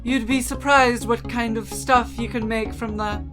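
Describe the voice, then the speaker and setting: Demon fly queen played by a woman: playful, grand, theatrical game-style villainess, slow delivery over soft music. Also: on the high side, fairly even intonation, close to an everyday voice. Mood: playful, boastful. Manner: theatrical, drawn-out, grandly welcoming. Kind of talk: dramatic reading of game dialogue.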